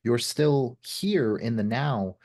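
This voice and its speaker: A man's voice: mid-range voice, slow pace, darkish tone. Reflective male podcast host. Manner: reflective, often adds "right?". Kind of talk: casual conversation.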